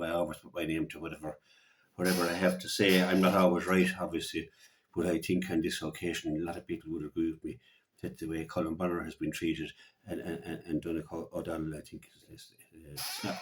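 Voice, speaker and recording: An Irish man giving unscripted sports commentary and opinion on local radio, halting and repeating words as he gathers his thoughts. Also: deep voice. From Ireland.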